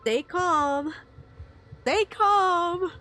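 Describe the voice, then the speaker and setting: A woman's voice: very high voice, very slow, pushed and bright. A woman game streamer giving casual live commentary and reading along with the game. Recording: faint game music behind. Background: video game music.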